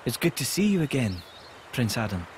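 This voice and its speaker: An actor plays a gentle young emperor from a video game, formal and composed. The voice sits at a middle pitch, with faint outdoor ambience behind it. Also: male voice, a little fast, a little hiss or hum, close to an everyday voice, poor audio. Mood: gracious. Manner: formal, calm, polite. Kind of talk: video game cutscene acting.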